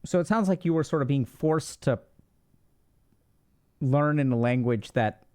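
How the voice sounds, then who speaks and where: Curious male interviewer, slightly higher voice. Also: a little slow. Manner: curious, gently probing questions with playful asides. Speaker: interviewer host. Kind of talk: casual interview chat.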